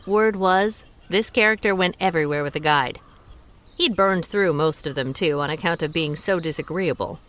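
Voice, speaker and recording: A woman playing a shrewd restaurant owner who plays amateur sleuth in a radio drama, wry and quick-witted. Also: lowish voice, fairly bright tone, a little hiss or hum, close to an everyday voice.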